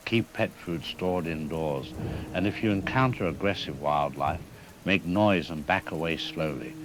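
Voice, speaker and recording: A man playing a calm animal behavior expert, explaining things formally and reassuringly in an audio drama news interview. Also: sits low, measured pace, noisy background. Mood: cautious, reassuring.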